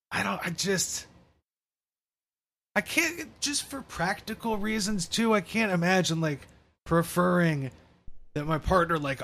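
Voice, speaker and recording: A man co-hosting a podcast in casual, profane chat, rambling with halting restarts. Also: on the high side, fairly bright tone. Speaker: podcast co-host.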